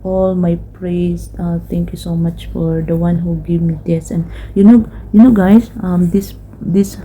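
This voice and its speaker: A young Filipino woman talking casually through an unboxing, halting with many fillers and switching between Tagalog and English. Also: lowish voice, fairly even intonation, dark tone, husky, poor audio. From Philippines. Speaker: vlogger.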